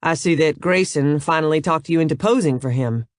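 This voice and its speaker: A man's voice: fairly high pitch, brisk, dry recording, studio quality, close to an everyday voice. A man voicing a reserved businessman of few words who talks in a plain, reserved way.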